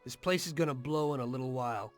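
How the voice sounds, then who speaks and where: An actor playing a weary, conflicted mobster with a conscience in a video game drama, brooding and blunt. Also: male voice, medium pitch, fast talker, faint music behind, natural voice. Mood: stern, warning.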